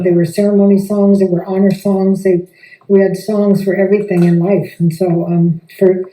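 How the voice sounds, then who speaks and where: Very dark-voiced woman, echoey room. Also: mid-range voice. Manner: encouraging, earnest. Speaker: interviewer. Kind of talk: interview questions and encouraging commentary. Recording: echoey room, distant mic.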